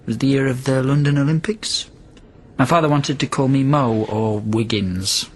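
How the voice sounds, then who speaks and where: An actor playing a wistful, pleading quarantined astronaut who is centuries old and immortal, in science fiction radio drama style. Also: male voice, mid-range voice, moderate pace, close to an everyday voice. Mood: wry, resigned. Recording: clearly noisy background ambience.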